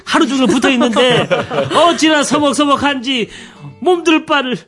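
A man's voice: extremely high pitch, animated, moderate pace, a bit bright, faint music behind. A man on a radio show, expressively telling a listener's story.

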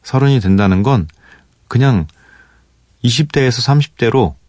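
A man hosting a late-night radio show, talking in a relaxed, thoughtful way with pauses and fillers. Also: mid-range voice, no echo, clean studio sound. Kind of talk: late-night radio talk, reading listener letters. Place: radio studio. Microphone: studio microphone.

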